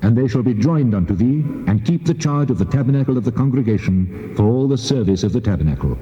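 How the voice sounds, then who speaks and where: An actor playing the God of Israel in dramatised scripture, solemn, measured and commanding, with heavy reverb on his voice. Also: mid-range voice, expressive, mellow, soft background music. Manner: solemn, measured, authoritative declaiming. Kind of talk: dramatised scripture reading in King James English. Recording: muffled tape, long cavernous reverb.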